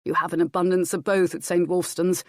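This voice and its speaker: A woman voicing a protective royal duke, commanding yet kindly, with measured formal speech in a historical audiobook. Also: lowish voice, little pitch movement, a little mellow, no room sound, studio quality, close to an everyday voice. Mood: firm.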